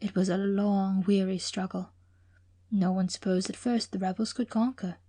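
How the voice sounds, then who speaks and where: A woman voicing a frail, very old gentleman with a soft, trained voice and a slight foreign accent, speaking slowly and wearily. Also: medium pitch, steady tone, natural voice. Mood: weary. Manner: soft, slow, weary.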